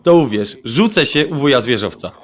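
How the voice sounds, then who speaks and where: A determined, teasing groom, played by a man in a radio play. His mid-pitched voice swings widely and emphatically, with English slang dropped into his Polish. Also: animated, moderate pace, natural voice. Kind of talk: audio drama acting, Polish mixed with English slang.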